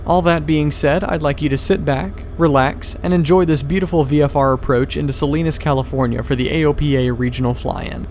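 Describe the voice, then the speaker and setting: A man's voice: on the high side, fairly even intonation, fairly quick, hardly any pauses, a little mellow. Man narrating over faint plane noise. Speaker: pilot and video host.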